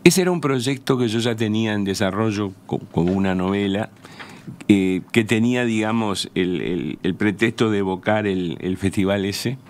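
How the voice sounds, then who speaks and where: Older uruguayan guy, low voice, novelist chatting on radio, slow careful pauses while he explains stuff. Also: a little mellow. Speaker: novelist, interview guest. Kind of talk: conversational radio interview, reminiscing. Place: small radio studio. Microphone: close studio microphone on a stand.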